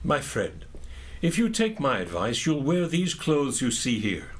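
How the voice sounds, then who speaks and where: A man's voice: medium pitch, wildly swooping pitch, husky, exaggerated voice. Guy playing an older knight teacher, kind and courtly, voice swings up and down a lot, medieval radio play. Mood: instructive. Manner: measured, instructive, courtly. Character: kindly, courteous male knight mentor, older. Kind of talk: audio drama acting of a medieval legend.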